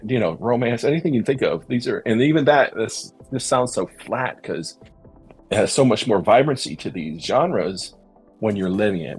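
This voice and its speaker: A man's voice: on the low side, a little mellow, breathy edge. A man hosting a podcast, talking in an earnest, thoughtful way and searching for his words in a casual discussion. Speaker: podcast host.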